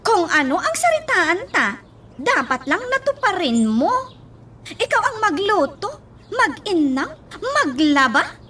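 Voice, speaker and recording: A woman's voice: very high-pitched, sing-song, slow, sharp tone, far from the mic, full character voice. A woman playing a lazy, demanding young wife in a radio drama, whining and bossing. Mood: whiny, bossy. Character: bossy, lazy, demanding young wife who wants pampering. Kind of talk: Filipino radio drama acting.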